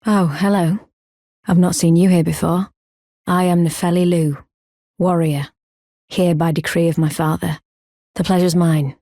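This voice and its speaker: Solemn warrior woman, low and even. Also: no echo, studio quality. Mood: composed, formal. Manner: solemn, measured, formal. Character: proud, earnest woman warrior, grown, stoic and honour-bound. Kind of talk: video game character acting, formal archaic speech.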